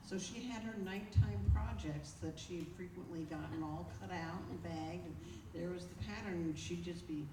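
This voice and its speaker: A woman's voice: lowish voice, normal speed, hardly any pauses. A woman co-presenting at a club meeting, reminiscing informally in a room, picked up by a distant mic. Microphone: distant camera mic. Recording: far-off echoey room, clear background noise.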